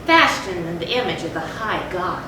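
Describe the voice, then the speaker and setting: Woman as a tormented gothic narrator, echoey and distant. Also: big pitch swings. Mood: anguished. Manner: theatrical, deliberate, emphatic. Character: guilt-tormented narrator of a gothic horror tale. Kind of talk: dramatic readers-theatre recitation of a gothic story. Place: live theatre stage. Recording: very echoey stage, distant mic, noisy rumble.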